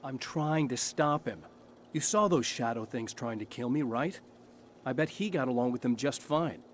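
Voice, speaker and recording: An actor playing a brooding, tormented novelist in a video game, narrating gravely and with measured delivery like noir. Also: male voice, medium pitch, a little hiss or hum, lightly acted. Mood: earnest. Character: brooding tormented bestselling crime novelist hero, adult man. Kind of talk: scripted first-person noir narration.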